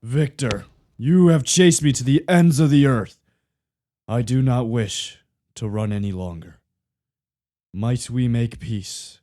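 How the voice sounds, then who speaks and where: Man playing dark-voiced, slow, brooding undead monster. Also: medium pitch, close to an everyday voice. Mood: bitter remorse. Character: remorseful, bitter male monster created from the dead. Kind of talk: theatrical radio play acting.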